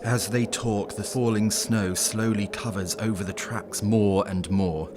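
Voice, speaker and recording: A man narrating a scripted horror story in an ominous, measured storytelling manner. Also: fairly low pitch, steady tone, background noise, close to an everyday voice.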